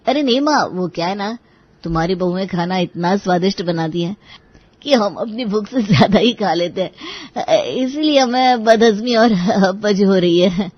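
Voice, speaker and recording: A man's voice: high voice, lively intonation, normal speed, no echo, lo-fi sound. A man voicing a meek, miserable young husband and younger brother in a Hindi cartoon, deferential and plaintive. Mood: placating. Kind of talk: dramatic Hindi cartoon dialogue.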